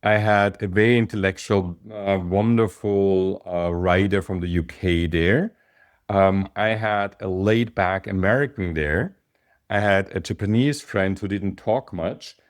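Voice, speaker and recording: An Austrian man with a German accent speaks in a very low voice. He coaches an online group in a relaxed, unhurried way, stopping often with ums and pauses as he gathers his thoughts. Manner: hesitant, many pauses and ums. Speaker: business coach. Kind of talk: casual talk to an online group.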